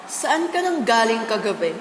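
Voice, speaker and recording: A woman's voice: a little high, big pitch swings, normal speed, a little hiss or hum. A woman playing a stern, nagging mother in an amateur school radio drama. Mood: suspicious. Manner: nagging, reproachful. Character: stern, scolding mother of a lazy teenage daughter. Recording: echoey hall with background chatter.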